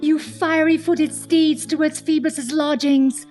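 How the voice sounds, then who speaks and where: A woman performing a theatrical Shakespearean monologue as a lovestruck, impatient young heroine, proud and bold, with declamatory, drawn-out phrasing. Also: sits high, little pitch movement, a little mellow, soft background music, pitched above the performer's own voice. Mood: yearning, ardent.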